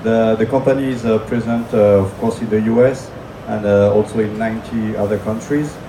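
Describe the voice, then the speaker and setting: French-accented guy giving a conference talk, lots of uhs, lowish voice, kinda far from the mic. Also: steady tone, a little fast. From France. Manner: hesitant, many filler sounds and restarts. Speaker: conference presenter. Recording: echoey room from far off, noisy.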